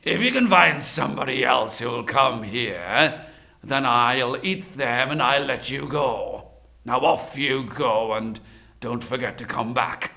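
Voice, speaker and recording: A man voicing a sly, hungry tiger in a children's folktale, wheedling slowly and making blunt, bullying demands. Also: mid-range voice, creaky, bright, forceful tone, heavily acted. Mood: sly, smug.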